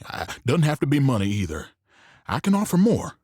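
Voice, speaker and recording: Man acting a taunting saloon owner, swinging pitch. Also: very animated, brisk, a little mellow, slightly rough, no echo, studio quality. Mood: wry, bargaining. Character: gruff, world-weary male saloon owner, goading and defiant. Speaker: actor in a western audio drama. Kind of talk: theatrical western audio drama acting.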